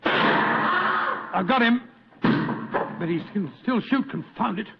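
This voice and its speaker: An actor playing a loyal, excitable, blustering gentleman sidekick in an old radio drama style. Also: high voice, takes it slowly, creaky, exaggerated voice. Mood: excited, alarmed. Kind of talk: 1940s radio drama acting. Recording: tinny, noisy old radio recording.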